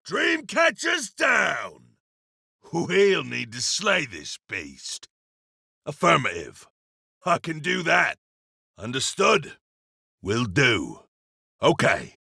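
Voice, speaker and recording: An actor playing a gruff, hard-bitten demon hunter and vengeful soldier, terse and plain-spoken, with gravelly video game delivery. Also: male voice, high voice, sharp tone, no room sound, studio quality, full character voice. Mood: urgent battle barks. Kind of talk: video game voice lines, scripted.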